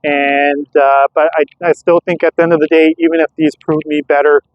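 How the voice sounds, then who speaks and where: A man's voice: a little high, flat delivery, fairly quick, no room sound. A man talking casually as a vlogger during a field test of radio gear, in slightly muffled audio.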